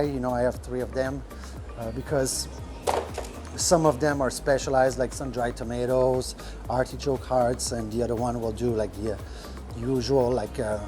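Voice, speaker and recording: Man talking shop, halting. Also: mid-range voice, a little mellow. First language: French. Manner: rambling, many fillers, searches for words. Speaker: restaurant owner. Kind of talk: casual podcast talk. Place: restaurant kitchen.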